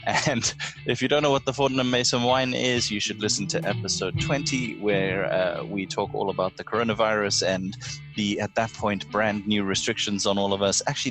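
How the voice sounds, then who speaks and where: A man's voice: fairly low pitch, a bit bright, low-quality recording. A man chatting casually and jokingly as a podcast host. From South Africa. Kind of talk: casual chat. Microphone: home microphone on a video call.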